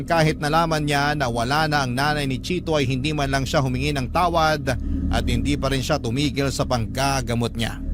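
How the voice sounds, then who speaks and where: Radio drama narrator: Filipino man by male actor, earnest confessional letter sender, slightly high pitch, clear music bed. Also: natural voice. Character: male letter sender earnestly recounting his troubled past. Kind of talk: dramatic first-person radio storytelling.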